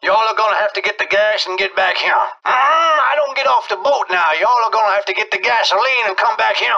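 An actor voicing a folksy Cajun boatman in a video game, with an excitable drawl and a radio filter. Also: high-pitched, brisk, gravelly, dull audio, exaggerated voice. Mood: plainspoken, helpful. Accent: Cajun Louisiana. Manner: folksy, repetitive "now" and dialect grammar. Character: folksy Cajun boatman, friendly survivor of outbreak.

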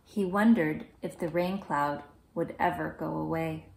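A woman in her thirties reading a children's picture book aloud in a gentle, measured, clearly phrased way. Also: sits low, a little hiss or hum, poor audio. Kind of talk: children's storybook read-aloud. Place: small indoor room at home.

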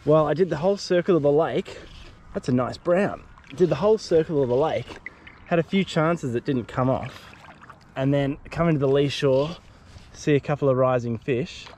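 A man's voice: a little high, normal speed, a little mellow. A young Australian man fly fishing from a lakeshore, chatty as he explains his tips, recorded on a head-mounted camera. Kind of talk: casual outdoor fishing vlog. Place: lakeshore outdoors on a windy day. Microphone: head-mounted action camera. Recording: wind noise on an outdoor camera mic.

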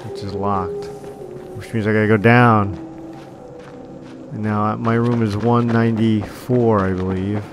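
A man casually commenting while playing a game, musing and joking in slow asides. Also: on the low side, level tone, noisy background. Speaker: game streamer. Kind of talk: casual let's-play commentary. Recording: voice over game music.

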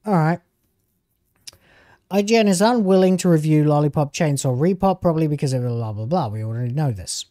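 A man's voice: a little high, a little fast, mellow, no echo, clean studio sound. A man in his thirties streaming from home on a headset mic, reacting to video clips in casual, argumentative commentary. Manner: argumentative, talks back at the clips.

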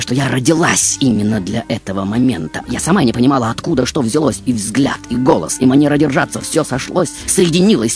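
A man's voice: mid-range voice, darkish tone, voice pushed up, lightly acted. A man playing a seductive, self-admiring film diva, telling her story on a radio show in a theatrical, ironic, grandiose manner.

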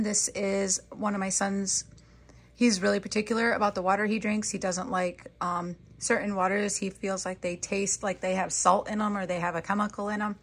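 A middle-aged woman, chatting casually and matter-of-factly in a rambling home vlog. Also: mid-range voice. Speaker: home vlogger. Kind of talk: casual chat, showing a grocery haul.

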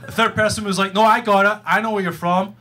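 A man's voice: high-pitched, fairly even intonation, quick pace. A man with a Glaswegian Scottish accent doing a sweary, punchy stand-up set on a club stage into a handheld mic. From Scotland. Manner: brash, sweary, punchy delivery. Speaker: stand-up comedian. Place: comedy club stage, indoors. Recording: live club recording with audience noise.

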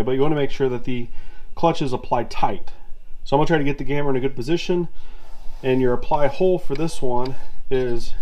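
A man's voice: medium pitch, a little mellow. A man giving an unscripted, step-by-step hands-on tutorial as a mechanic, in plain-spoken words. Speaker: mechanic demonstrating a repair on video. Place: indoor garage workshop at a workbench. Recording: clearly noisy workshop camera audio. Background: clear workshop background noise.

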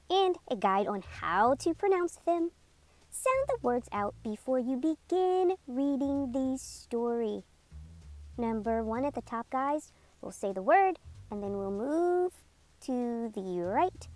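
A woman reading a picture book aloud to children, enunciating each word deliberately and sounding out vocabulary with animated emphasis. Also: high-pitched, no room sound. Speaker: read-aloud host for children.

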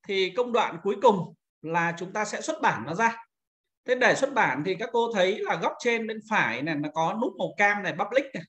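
Man giving an informal software tutorial. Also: high voice. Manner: informal, repeats pointing words while demonstrating. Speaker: software tutorial instructor addressing teachers. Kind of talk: screen-recorded step-by-step software tutorial.

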